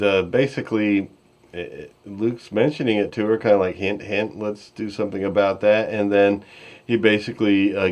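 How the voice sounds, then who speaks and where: A man hosting a podcast, giving casual commentary in a halting, rambling way. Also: on the low side. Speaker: podcast host.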